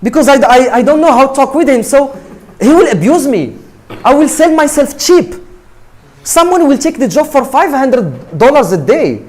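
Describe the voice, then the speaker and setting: Lively-pitched man giving an emphatic talk. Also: normal speed. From Morocco. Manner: emphatic, repetitive, asks "right?" often. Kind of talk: motivational talk to students.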